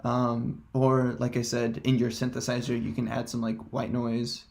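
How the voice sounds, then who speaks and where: A man presenting a casual software tutorial in hesitant, halting, unscripted phrasing. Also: medium pitch, completely flat delivery, vocal fry, heavy background noise.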